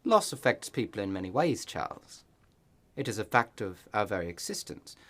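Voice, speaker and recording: Sermonising clergyman, swooping low voice. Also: sing-song, a bit bright. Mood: solemn consolation. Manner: measured, sermonising. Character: pious, consoling parish clergyman. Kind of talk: radio play acting.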